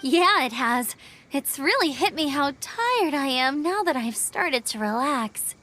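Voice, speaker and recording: A woman voicing a spirited, cheerful young female scout and instructor in a video game, energetic in how she speaks. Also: high voice, unhurried, a little hiss or hum, exaggerated voice. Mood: tired. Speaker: game character.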